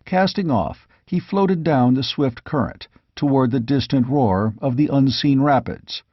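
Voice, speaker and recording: A man's voice: mid-range voice, measured pace, husky, dry recording, dull audio. A man narrating a fantasy novel as a scripted audiobook.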